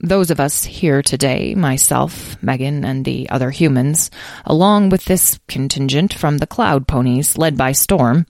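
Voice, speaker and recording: A woman voicing a serious, gentle guild leader in a children's story, speaking in a measured, formal, polite way. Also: rumbling low, no echo, clean studio sound. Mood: solemn.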